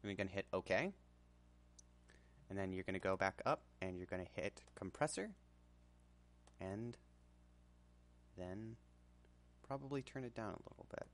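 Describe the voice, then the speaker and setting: A man presenting a casual software tutorial in an informal, hesitant way. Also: low-pitched, moderate pace, long pauses.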